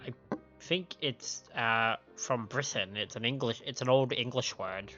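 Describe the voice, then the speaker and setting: A male streamer chats and jokes casually through a game playthrough. His mid-pitched voice comes through slightly muffled, with faint music behind it. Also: unhurried, sharp tone. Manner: joking, chatty. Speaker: live streamer, host.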